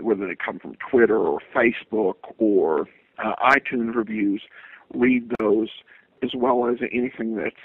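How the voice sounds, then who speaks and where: A man's voice: mid-range voice, slightly rough, no echo. A man answering interview questions as a podcast guest, speaking hesitantly with repeated stammered restarts, over a call. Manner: hesitant, halting delivery with frequent stammered repeats. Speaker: guest, podcaster of old-time radio shows. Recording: tinny phone-line sound, dry.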